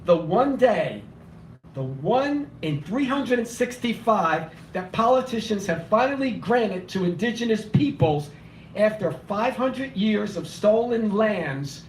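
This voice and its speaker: High-voiced man reciting poetry, slightly hoarse. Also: expressive, takes it slowly, a little hiss or hum. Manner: impassioned, declamatory, rhythmic repetition. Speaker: open mic poet. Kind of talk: spoken word poetry reading. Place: small cafe open mic. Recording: echoey room, voice from far off.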